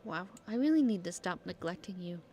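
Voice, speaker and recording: A woman's voice: on the low side, lively intonation, fairly quick, dark tone, a little hiss or hum. A woman giving casual gameplay commentary as a streamer, talking to herself playfully and swearing casually. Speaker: game streamer.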